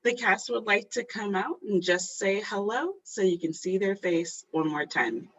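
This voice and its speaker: A woman's voice: medium pitch, unhurried, husky. A woman hosting, reading out a cast list as a curtain-call announcement. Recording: dull audio, faint music.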